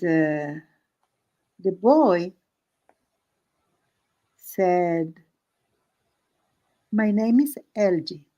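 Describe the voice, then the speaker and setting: A woman who is not a native English speaker tells an improvised story casually, halting phrase by phrase with searching pauses. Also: fairly low pitch, vocal fry, dark tone, lo-fi sound. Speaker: host of an art and story stream.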